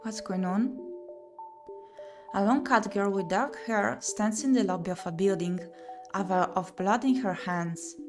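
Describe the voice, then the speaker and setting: A woman doing let's-play commentary, reading game narration aloud and muttering and exclaiming to herself in an expressive way. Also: medium pitch, unhurried. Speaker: streamer playing and reading a visual novel. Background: music.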